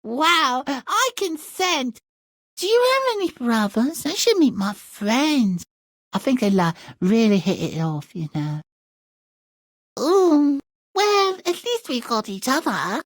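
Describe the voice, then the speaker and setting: A man's voice: very high voice, sing-song, takes it slowly, fairly bright tone, no echo, clean studio sound, heavily acted. A man voicing a flighty, materialistic young fairy-tale heroine in a comic game, with breezy, self-absorbed valley-girl talk. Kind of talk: comic video game cutscene acting.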